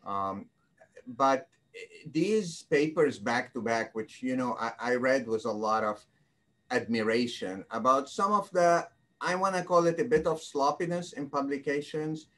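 A man's voice: mid-range voice, a little slow, fairly bright tone. Hesitant man asking questions on a call. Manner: rambling, hesitant questions with many fillers. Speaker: panel interviewer, oncologist. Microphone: video call webcam.

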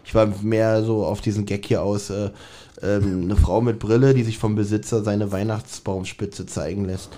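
A man's voice: on the low side, fairly even intonation, moderate pace, mellow. A man co-hosting a podcast in casual chat, with a teasing, joking way of talking. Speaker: podcast co-host.

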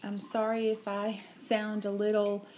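A woman with a stuffy, nasal voice from a cold talks slowly and gently, filling pauses with many ums. She speaks to a camera mic on a table at home, with a faint hiss behind her. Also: mid-range voice, monotone. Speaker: craft vlogger. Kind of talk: casual show-and-tell.

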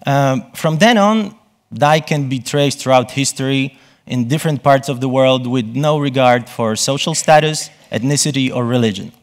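Halting male presenter. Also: mid-range voice. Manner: halting, self-correcting delivery. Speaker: conference speaker. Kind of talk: conference presentation. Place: on stage in a conference hall.

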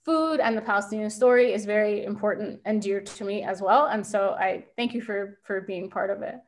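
A woman in her thirties speaking on a video call from her home kitchen, talking quickly and thoughtfully with many fillers. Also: medium pitch. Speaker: invited speaker.

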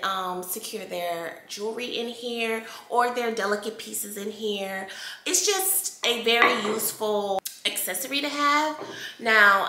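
An American woman in her thirties talks to camera in a casual, conversational vlog style. Her voice is bright and takes its time, with emphatic points along the way. It is recorded indoors with noticeable room echo. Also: mid-range voice. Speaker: home decor vlogger. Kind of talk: casual haul chat to viewers. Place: bright indoor room at home, seated at table. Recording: echoey room.

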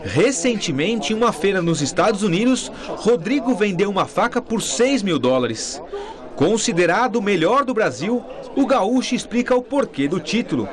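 A man's voice: sits high, very animated, moderate pace, crowd noise. A young man with a Brazilian accent narrating a scripted TV news report as a reporter. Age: young adult.

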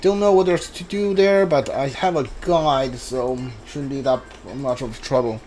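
A man's voice: medium pitch, a little slow, a little mellow. A man giving casual video game playthrough commentary, mumbling his thoughts aloud as he plays. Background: game music.

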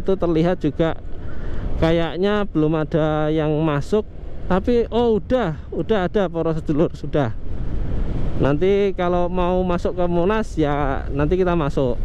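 Chatty high-voiced man narrating from a motorbike. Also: mellow, lo-fi sound, slight background noise. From Indonesia. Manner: chatty, loose, many fillers, keeps addressing viewers. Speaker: motovlogger. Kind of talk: casual running commentary while riding. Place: on a motorcycle on city streets outdoors.

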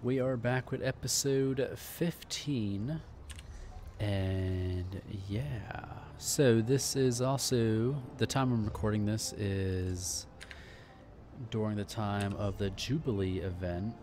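A man's voice: on the low side, slow pace, slight background noise. A man hosting a casual let's play, chatting in a laid-back way with jokey asides. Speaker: gaming channel host. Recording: loud game music behind voice.

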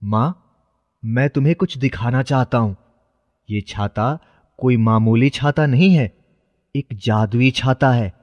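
A man's voice: medium pitch, slow, pauses often, a little mellow, natural voice. Man playing an earnest poor boy, reverberant. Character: poor, earnest young boy, devoted son. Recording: muffled, with long reverb.

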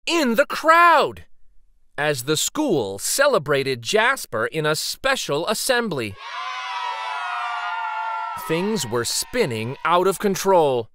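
A man reading a children's picture book aloud as dramatic, suspenseful narration, with theatrical pauses and emphasis. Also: a little high, wildly swooping pitch, pushed and bright, dry recording, a faint crowd.

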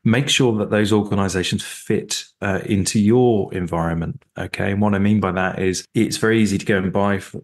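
A man with a very low, deep voice, talking as an interview guest about security. He speaks emphatically, repeating his points and often restarting his sentences with fillers. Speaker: interview guest, cybersecurity executive. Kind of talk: sponsored interview.

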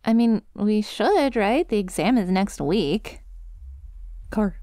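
A woman's voice: mid-range voice, expressive, slow, warm tone, no room sound. A woman voicing a friendly, scatterbrained dog-girl student in an ASMR roleplay, with a casual, rambling, easily sidetracked manner. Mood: sheepish, rambling. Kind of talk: ASMR roleplay, one-sided conversation with listener.

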